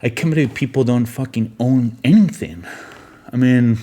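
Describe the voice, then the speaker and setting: A man's voice: mid-range voice, moderate pace, warm tone. A man from Argentina hosts an unscripted, opinionated monologue, speaking bluntly and emphatically and often repeating himself. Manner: blunt, emphatic, repetitive, swearing. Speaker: podcast host, physician.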